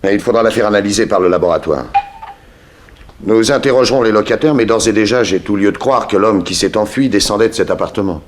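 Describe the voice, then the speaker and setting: A low, deep voice belongs to a cool, worldly detective played by a man. He speaks with dry self-assurance and calm authority, in the manner of 1960s French radio drama. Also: quick pace, noisy background, close to an everyday voice. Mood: pensive, deductive.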